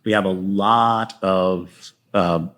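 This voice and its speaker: A man with a fairly low voice speaks as a guest in a relaxed interview. He tends to think aloud, halting and picking his way through sentences in a conversational style. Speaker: guest playwright and podcaster. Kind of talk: casual interview chat.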